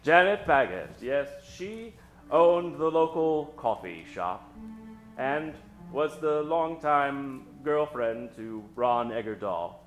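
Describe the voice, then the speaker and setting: A man's voice: mid-range voice, animated, highs cut off. Theatrical male radio host over music. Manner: dramatic, drawn-out storytelling delivery. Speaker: host and announcer. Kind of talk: theatrical old-time radio show introduction before a live audience. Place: stage before a live studio audience. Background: musical accompaniment.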